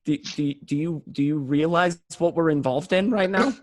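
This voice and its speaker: A man's voice: fairly high pitch, moderate pace, a little mellow, dry recording, lightly acted. An actor playing a hot-headed, anxious gangster in a radio play, telling his story in profane, stammering bursts. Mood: exasperated. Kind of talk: first-person crime narration and dialogue, radio play.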